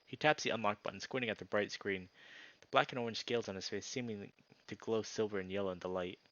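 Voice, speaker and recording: A man narrating a visual novel aloud as a streamer, with informal, expressive asides. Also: on the low side, fast talker, dull audio.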